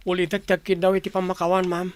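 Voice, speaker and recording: A man's voice: sits high, monotone, quick pace, close to an everyday voice. A man with an Ilocano accent playing a contrite wrongdoer begging forgiveness in a radio drama. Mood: remorseful.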